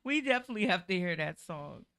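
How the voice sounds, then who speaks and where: A woman hosting a casual interview in a recording studio, relaxed and chatty, peppering her talk with "you know what I'm saying.". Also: lowish voice, fast. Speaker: host.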